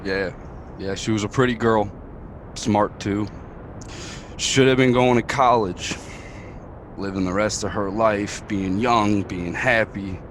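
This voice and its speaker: A man playing a grieving, bitten survivor in a dramatic table read, with a husky voice over a video call. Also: on the low side, steady tone, slow pace, long pauses, a little hiss or hum. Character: grieving bitten man, raging then wearily resigned. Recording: video call audio under music.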